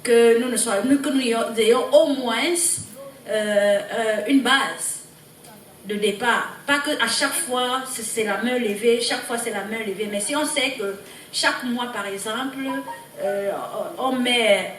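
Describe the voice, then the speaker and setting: A woman presenting a group report to a party meeting, halting and repeating words as she goes. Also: a little high. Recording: echoey hall, distant mic, background noise.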